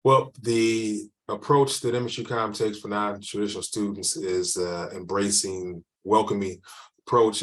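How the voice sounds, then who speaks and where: Deep-voiced guy answering admissions questions, rambles and restarts a lot, lots of uh and um. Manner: rambling, restarts often, many fillers. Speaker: admissions counselor. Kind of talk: informal webinar Q&A.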